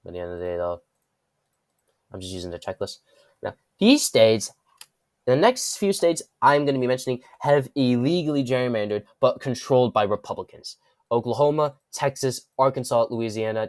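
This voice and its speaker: A man's voice: medium pitch, darkish tone, dry recording, clean studio sound. Congested man rambling through political commentary. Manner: rambling, with frequent restarts and self-corrections. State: congested from a cold. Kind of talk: casual explainer commentary.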